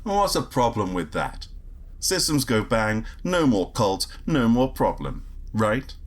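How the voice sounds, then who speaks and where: Grumpy, husky low-voiced space agent. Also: male voice, sing-song, slow, exaggerated voice. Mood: blunt. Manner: wry, grumbling, sardonic. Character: grumpy, trigger-happy male space agent, sardonic and exasperated. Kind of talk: first-person sci-fi audio drama narration and dialogue.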